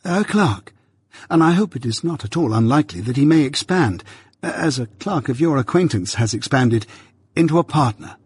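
A man reading aloud, voicing an affectionate young Victorian gentleman who speaks eagerly and cordially to his friend in a raised, bright voice. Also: fairly high pitch, lively intonation, moderate pace, husky, no room sound, pitched above the performer's own voice.

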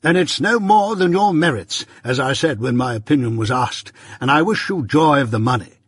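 A man's voice: mid-range voice, animated, normal speed, breathy edge, no room sound, clean studio sound. A man voicing a pompous, self-satisfied older uncle, a patronizing seed merchant in a storybook.

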